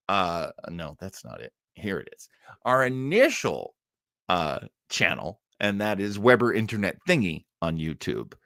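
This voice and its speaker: A man's voice: fairly low pitch, no room sound, clean studio sound. A man hosting a livestream in a casual, rambling, jokey way, often interrupting himself. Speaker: livestream show host.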